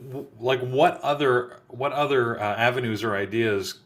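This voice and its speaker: A man's voice: medium pitch, normal speed. A man from Canada hosting a live trading show, chatty and friendly, passing on viewers' questions. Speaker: host.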